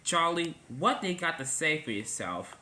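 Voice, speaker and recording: A man's voice: a little high, sing-song, normal speed, background noise, voice pushed up. A man voicing a stern middle-aged railway boss who lectures and scolds.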